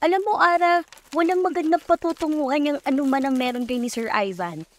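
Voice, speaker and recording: An actress playing a brash, loyal and blunt young best friend in an audio drama, frank and emphatic. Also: female voice, high-pitched, fairly quick, close to an everyday voice. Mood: warning. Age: young adult. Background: music.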